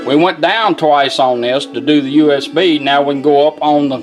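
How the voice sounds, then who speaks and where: A man's voice: a little high, fairly even intonation, normal speed, music in the background. A man giving a casual hands-on product demonstration in informal, folksy phrasing. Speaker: product demonstrator, car radio builder.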